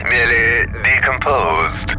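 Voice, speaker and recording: An actor playing a suave, taunting male villain in a video game, speaking in a silky, sardonic, theatrical way over a loud score. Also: mid-range voice, lively intonation, takes it slowly, highs cut off, over music. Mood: mocking. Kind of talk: video game cutscene voice acting.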